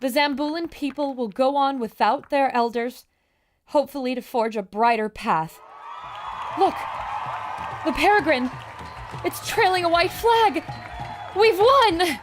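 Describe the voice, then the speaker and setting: A woman playing a decisive, clear-headed adventurer in an audio drama, with earnest delivery. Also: sits high, measured pace, long pauses, music in the background. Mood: hopeful.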